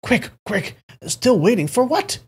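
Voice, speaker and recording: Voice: mid-range voice, fairly even intonation, fairly quick, a little mellow, natural voice. A narrator voicing an elderly man who is frightened and urgent, with a trembling voice.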